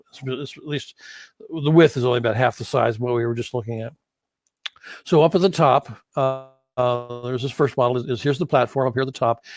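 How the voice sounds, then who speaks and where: A man giving an unscripted, explanatory lesson as an audio instructor, speaking haltingly with frequent pauses. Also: medium pitch, no room sound.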